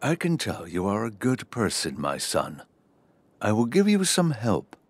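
An actor playing a kindly, wise old man in a children's folktale, speaking in a measured, fatherly way. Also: on the low side, wildly swooping pitch, no room sound, exaggerated voice. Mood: wise, reassuring. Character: kindly hunched old man, wise magical gift-giver. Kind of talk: children's audio folktale drama.

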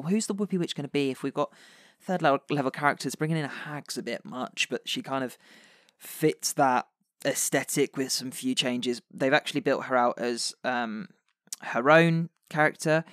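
An English man hosts a casual podcast discussion. His voice sits at an ordinary male pitch. The recording is dry, with very little room sound. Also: a bit bright, no room sound. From England. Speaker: podcast host reviewing tabletop adventures.

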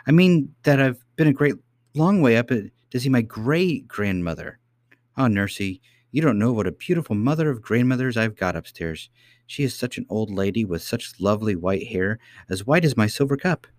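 Man voicing an earnest little princess, raised pitch. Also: expressive, normal speed, a little mellow, no echo, studio quality, lightly acted. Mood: eager, wondering. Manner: eager, insistent, childlike protesting. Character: earnest little princess, indignant young girl.

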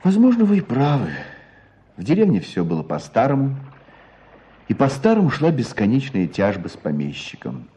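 An actor playing a storyteller in a radio play, narrating village hardship with expressive, deliberate phrasing. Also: male voice, mid-range voice, lo-fi sound. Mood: reflective.

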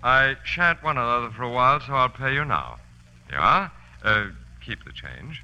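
A well-dressed schemer of thirty-five in an old radio play. He is courteous and slightly hesitant, with a bright, sharp tone and animated pitch, over music. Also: moderate pace, clean tone, dull audio. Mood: casually probing. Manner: hesitant, courteous, genteel phrasing. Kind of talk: 1940s radio acting.

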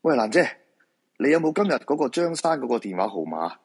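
An actor plays a worried feng shui master in a Hong Kong Cantonese radio drama. His mid-pitched voice is husky and hurried in manner. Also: very animated, darkish tone. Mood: urgent.

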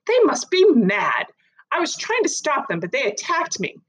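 A woman voicing a frantic, cornered middle-aged woman in a storybook reading, high and breathless as she protests. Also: huge pitch swings, moderate pace, fairly bright tone, full character voice. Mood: panicky.

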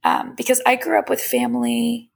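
Young woman podcasting off the cuff, tons of vocal fry, kinda husky, candid and chatty. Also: fairly high pitch, completely flat delivery, normal speed. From Iran. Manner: candid, reflective, conversational. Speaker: podcast host. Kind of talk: casual personal monologue.

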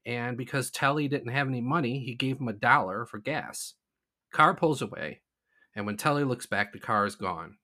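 A man's voice: mid-range voice, moderate pace, pushed and bright. A man co-hosting a talk show, telling an anecdote in an informal storytelling style.

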